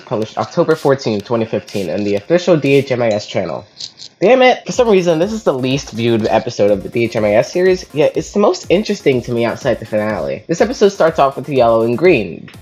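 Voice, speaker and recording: Young man reviewing with lively intonation. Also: medium pitch. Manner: informal, swears lightly. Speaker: review show host. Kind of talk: casual scripted episode review.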